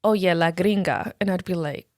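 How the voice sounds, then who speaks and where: A woman guest casually recounting her story in conversation, with a relaxed storytelling manner and soft, frequent affirmations. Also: fairly low pitch, moderate pace, no room sound, clean studio sound. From United States.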